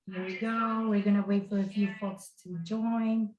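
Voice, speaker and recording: A woman's voice: medium pitch, flat delivery, normal speed, darkish tone, roomy sound, highs cut off. A middle-aged woman hosting a casual live-stream interview, affirming and encouraging her guest as she talks. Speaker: host. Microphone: video call.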